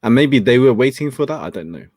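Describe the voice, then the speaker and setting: A man from England, whose was Cantonese. He hosts a casual talk show and speaks in a halting, reflective way, full of fillers. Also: mid-range voice, lively intonation, a little mellow, dry recording. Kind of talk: casual conversation.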